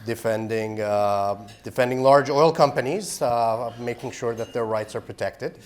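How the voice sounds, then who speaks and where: A man's voice: medium pitch, a little slow. Earnest middle-aged man giving a public talk. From Iran. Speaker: executive director of a human rights group. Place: live public forum.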